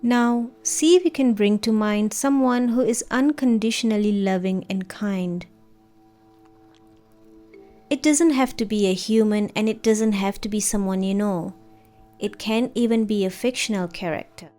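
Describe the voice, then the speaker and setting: Soft mellow lady voice doing guided meditation, gentle and unhurried, music playing behind her. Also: medium pitch. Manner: gentle, soothing, unhurried instruction. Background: background music.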